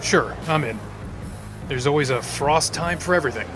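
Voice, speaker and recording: A man's voice: on the high side, moderate pace, over music, lightly acted. A man playing a cool, sardonic male supervillain in an audio drama, with a dry, joking delivery. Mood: wry. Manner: dry, wisecracking.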